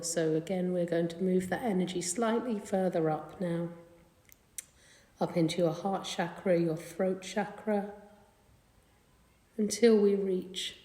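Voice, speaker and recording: A woman leading a guided meditation in a gentle, soothing, unhurried manner. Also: on the low side. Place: indoor carpeted room. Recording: echoey room with long reverb.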